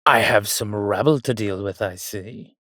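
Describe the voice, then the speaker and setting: A man's voice: fairly low pitch, measured pace, pushed and bright, no echo, studio quality. A man playing an arrogant, menacing vampire warrior in an audio roleplay, haughty and theatrical, with suave affection for the listener. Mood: menacing, hungry. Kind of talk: dramatic roleplay monologue, addressing a listener.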